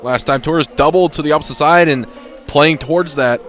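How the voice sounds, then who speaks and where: A man's voice: fairly high pitch, moderate pace, fairly bright tone, soft background music. A man giving conversational live analysis as a sports color commentator.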